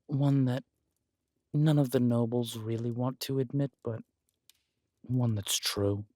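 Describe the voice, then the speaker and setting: A man's voice: mid-range voice, fairly even intonation, slow, dark tone, no room sound, clean studio sound, lightly acted. A man playing a villainous conqueror king in a romantic roleplay audio, smug and flirtatious, teasing and haughty as he draws out his words. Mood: conspiratorial, cynical.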